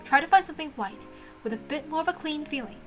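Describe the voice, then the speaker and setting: A woman voicing a high-strung, exacting lady of a mansion, stern and formal as she commands servants. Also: expressive, fast, faint music behind. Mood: brisk orders.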